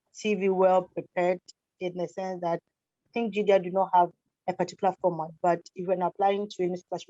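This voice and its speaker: A woman with a low, mellow voice gives direct, repeated advice over a video call. The audio is dull and lo-fi, with a dry, close sound and no room around it. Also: no room sound. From Ghana. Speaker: scholarship recipient panelist. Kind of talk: online webinar talk.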